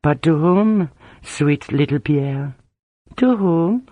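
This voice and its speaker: Casting note: sly, teasing female spy voiced by a man, slow, dark and mellow, dry close sound. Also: on the high side, lively intonation, no room sound, muffled recording, pitched above the performer's own voice. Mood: mocking. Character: scheming seductive woman spy, mocking.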